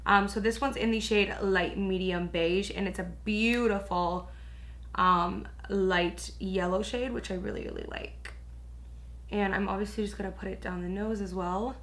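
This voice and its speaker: Bright, hoarse young woman gushing casually. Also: medium pitch, fairly even intonation, moderate pace. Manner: gushing, chatty, lots of "like" and "you guys". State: raspy, hoarse voice. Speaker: beauty vlogger. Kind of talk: casual makeup tutorial chat.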